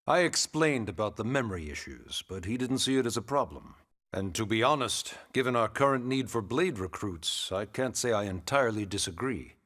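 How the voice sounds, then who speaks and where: A man playing a wry, formal, gently pushy government secretary in a video game cutscene, courteous and smug. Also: on the low side, animated, moderate pace. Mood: wry, persuasive. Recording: loud music behind the voice.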